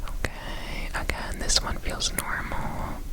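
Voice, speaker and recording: Someone whispering softly and breathily in an ASMR roleplay, speaking gently to the listener as an examiner and often repeating words, close to the microphone. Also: slow pace.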